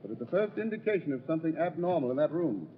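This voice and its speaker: An actor playing a suave English bachelor with a British accent, formal and ominous, in an old-time radio drama. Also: male voice, a little high, big pitch swings, fast, narrow, tinny audio. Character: suave English bachelor host warning of family ghost. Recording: thin, noisy vintage radio recording.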